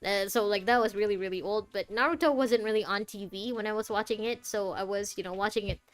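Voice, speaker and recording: A woman giving live playthrough commentary, chatting casually to viewers and reacting aloud in a jokey, expressive way. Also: mid-range voice, quick pace. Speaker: game streamer. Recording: music behind the voice. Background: game music.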